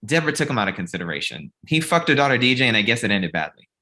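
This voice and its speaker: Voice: mid-range voice, sing-song, racing, pushed and bright. An actor reading the part of a blunt, exasperated male talent manager in a comedy table read. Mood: pressing.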